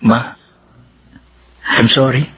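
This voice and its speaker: A man's voice: mid-range voice, fairly even intonation, very slow, fairly bright tone, slightly rough, exaggerated voice. A man playing a respectful, ashamed young son in a Filipino radio drama. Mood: apologetic. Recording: slightly dull radio drama audio.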